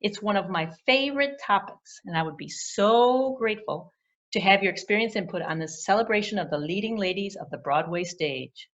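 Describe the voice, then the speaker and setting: A woman's voice: medium pitch, expressive, moderate pace, highs cut off. A woman storyteller and puppeteer reviewing a children's book in conversation with a puppet.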